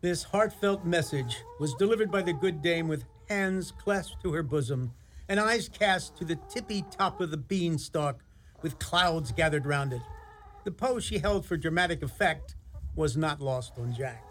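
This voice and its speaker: A man's voice: a little high, lively intonation, a little slow, fairly bright tone. A man playing a wry storybook narrator, reading scripted narration for a radio play. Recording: live studio recording, noisy ambience.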